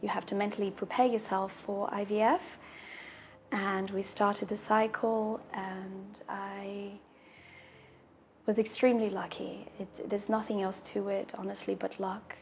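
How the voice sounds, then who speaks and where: Husky woman with a flat, even voice. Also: mid-range voice, unhurried, slight background noise. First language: Portuguese. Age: 30s. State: taking deep breaths between sentences. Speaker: interviewee sharing a personal story. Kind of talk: personal testimonial.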